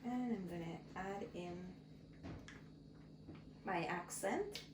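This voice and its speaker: A woman hosting a cooking channel, explaining each step in a slow, friendly way from her home kitchen. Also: fairly low pitch, background noise. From Guyana. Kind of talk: casual cooking tutorial. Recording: echoey kitchen with clattering pots.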